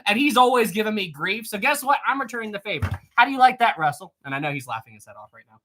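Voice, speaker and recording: Thirtysomething guy on a livestream, bright voice, bounces up and down a lot, teasing chat and laughing. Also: high voice, very animated, fast, a little hiss or hum. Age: 30s. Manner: playful and teasing, laughs often; clears throat often. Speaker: live streamer. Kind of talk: casual livestream chat reading comments.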